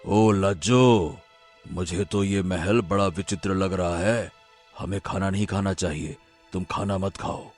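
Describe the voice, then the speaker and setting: An actor playing a wary, easily alarmed but clever husband in an animated fairy tale. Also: male voice, low voice, a little slow, faint music behind.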